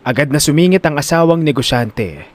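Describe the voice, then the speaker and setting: A man's voice: on the high side, lively intonation, fairly quick. A man with a Filipino accent narrating an audio drama in a scripted, dramatic storytelling style.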